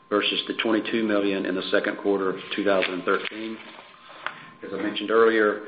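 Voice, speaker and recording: Male executive, lowish monotone delivery of financial results, businesslike, on a dull conference line with faint noise. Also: roomy sound. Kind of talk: prepared earnings call presentation. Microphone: conference call line.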